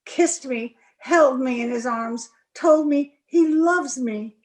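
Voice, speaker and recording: A woman acts a defiant, lovestruck young gentlewoman in an old-time radio play. Her voice is fairly high and her pace is very unhurried, with emphatic theatrical weight on the words. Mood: defiant, accusing. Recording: remote video-call recording.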